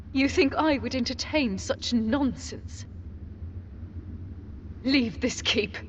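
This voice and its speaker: A woman's voice: medium pitch, a little hiss or hum, natural voice. An actress playing an imperious, scornful queen mother in a fantasy game, cold and threatening. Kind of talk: dramatic fantasy game acting. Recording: muffled lo-fi, faint music behind.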